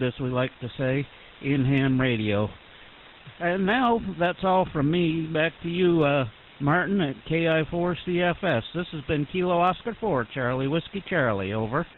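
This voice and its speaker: An American man giving an informal, chatty regional report as an amateur radio operator. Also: fairly high pitch. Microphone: internet-linked ham radio transmission. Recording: hissy narrow phone-like radio link.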